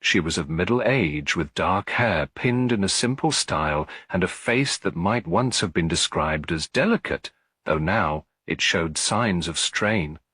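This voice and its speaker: Male audiobook narrator, husky low voice, measured literary delivery, close dry sound. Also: expressive, fairly bright tone, no room sound. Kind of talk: scripted mystery story narration.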